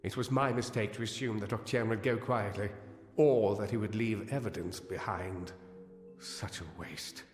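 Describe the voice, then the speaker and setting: A man's voice: lowish voice, moderate pace, lightly acted. An actor playing a learned, earnest gnome scholar in a fantasy game, precise and formal in his speech. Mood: regretful, scholarly. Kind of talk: scripted fantasy game dialogue. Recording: echoey hall reverb, music behind.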